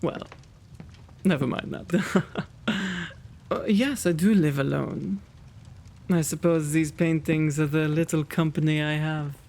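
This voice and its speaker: Man playing a creaky, slow-spoken gentleman vampire. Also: on the high side, steady tone. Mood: genial, chatty. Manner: genteel, old-fashioned, fussing and flustered diction. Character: kind, quaint gentleman vampire, lonely host of old mansion. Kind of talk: ASMR roleplay.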